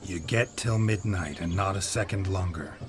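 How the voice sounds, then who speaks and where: An actor playing a gruff, dour, world-weary monster hunter in a video game, with terse, dry, deadpan delivery. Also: male voice, low voice, moderate pace, slight background noise, close to an everyday voice. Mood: weary, firm. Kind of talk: video game character acting. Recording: music behind the voice.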